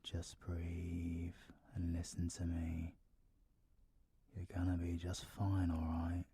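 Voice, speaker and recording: A British man playing a roguish, protective, gruff yet tender supervillain in an audio roleplay, husky and colloquial. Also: low-pitched, completely flat delivery, slow pace, mellow, slight background noise. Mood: soothing, tender. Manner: sweary, teasing, colloquial. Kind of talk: audio roleplay, villain ASMR.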